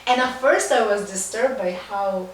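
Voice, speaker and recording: A woman's voice: medium pitch, measured pace. Echoey, distant Israeli woman, enthusiastic. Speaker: podcast guest, life coach. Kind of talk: casual podcast interview. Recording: distant mic, very echoey room, faint noise.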